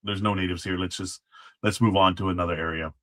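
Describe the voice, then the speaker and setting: A man's voice: low voice, steady tone, fast talker, a bit bright. A man speaking as a guest, casually explaining history in an informal way, feeling his way through what he remembers. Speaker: guest, former Alamo guard.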